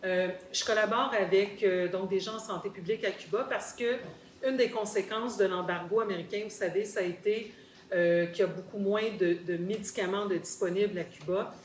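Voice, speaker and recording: A Québécois woman speaks as a guest in a group discussion, in an articulate, explanatory way. Her voice sits at an average pitch and she repeats words for emphasis. The room is echoey, with faint noise behind her. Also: fairly even intonation, a little fast. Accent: Québécois French. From Quebec, Canada. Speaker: guest sharing personal experience. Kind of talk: conversational storytelling in a group discussion. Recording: roomy echo, faint background noise.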